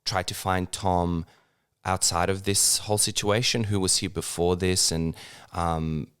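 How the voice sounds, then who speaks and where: A man in his thirties, born in Serbia and speaking, talking about his acting work in a relaxed interview, with lots of fillers and restarts. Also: sits low, unhurried, smooth. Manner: hesitant, many fillers and restarts. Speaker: actor, interview guest. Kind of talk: casual interview conversation.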